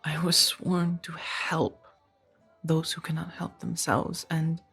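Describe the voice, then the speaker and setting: A woman acting in a soft-spoken ASMR roleplay as a kind, conflicted former warrior monk turned farmer, hesitant and earnest. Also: low-pitched, level tone, slow, creaky, slightly rough, faint music behind, heavily acted.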